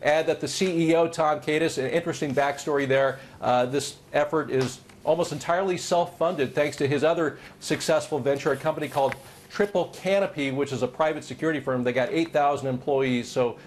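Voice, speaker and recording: A man's voice: fairly high pitch, fairly quick, slight background noise. A man reporting on television, explaining and interviewing in a semi-scripted way. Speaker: TV news reporter.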